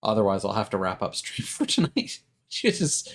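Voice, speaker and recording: A man's voice: lowish voice, sing-song, moderate pace, creaky. A man chatting casually as a live streamer, rambling and thinking aloud with hesitant self-corrections.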